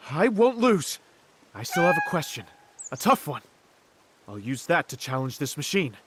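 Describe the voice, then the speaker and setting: An actor playing a proud but self-doubting tinkerer in a video game, hesitant and easily flustered. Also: male voice, on the high side, big pitch swings, moderate pace, a bit bright, slight background noise. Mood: determined. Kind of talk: video game character acting.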